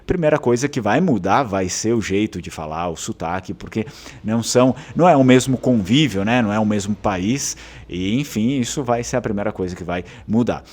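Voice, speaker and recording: A man's voice: lowish voice, lively intonation, fairly quick, darkish tone. A man from southern Brazil with a southern Brazilian Portuguese accent, hosting his channel in a casual, rambling talk full of fillers and restarts. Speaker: channel host.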